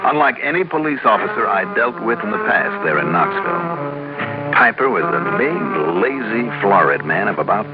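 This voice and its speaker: An actor playing a skeptical, unflappable insurance investigator in a 1950s radio drama, dry and matter-of-fact. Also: male voice, fairly low pitch, big pitch swings, normal speed, loud music behind the voice. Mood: matter-of-fact narration. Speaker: lead actor and narrator. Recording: noisy, muffled old radio recording.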